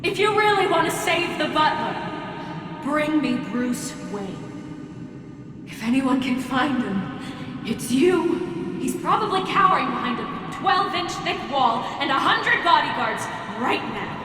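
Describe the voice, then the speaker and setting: An actress playing a vengeful masked female villain who taunts her enemy in calm, menacing tones, in a video game cutscene. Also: sits high, slow pace, background noise, exaggerated voice. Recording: far-off echoey hall with music.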